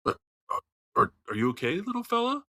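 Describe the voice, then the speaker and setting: A man voicing a timid, friendly young male alien prisoner in a tabletop game, halting and stammering as he talks. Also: high-pitched, huge pitch swings, sharp tone, no echo, clean studio sound, voice pushed up, exaggerated voice. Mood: hesitant concern.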